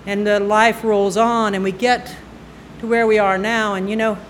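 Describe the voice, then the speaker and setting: A woman's voice: mid-range voice, level tone, a little hiss or hum. Woman in her sixties telling a story on stage. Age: 60s. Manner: conversational, halting with "uh" fillers, breaks into laughs. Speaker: storyteller at a live storytelling night. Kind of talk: unscripted personal story told on stage. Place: stage at a live event. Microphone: stage microphone.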